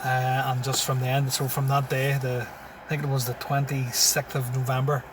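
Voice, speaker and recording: A man's voice: medium pitch, flat delivery, moderate pace, far from the mic, background noise. Laid-back man with a Tyrone accent. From Syria, raised in Tyrone. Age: 30s. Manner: laid-back, chuckles often. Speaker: comedian guest. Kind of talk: casual interview chat. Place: inside a parked car. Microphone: phone.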